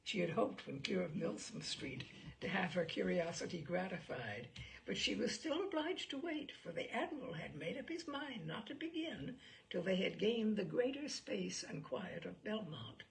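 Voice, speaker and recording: A woman narrating a classic novel as an audiobook in a measured, expressive storytelling manner. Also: mid-range voice, creaky, slight background noise, poor audio.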